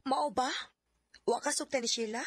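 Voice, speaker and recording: A woman's voice: high-pitched, moderate pace, breathy edge, dry recording, clean studio sound, soft background music. An actress playing a middle-aged mother who teases and encourages her son, with a Bisaya accent, in a Filipino radio drama. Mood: encouraging.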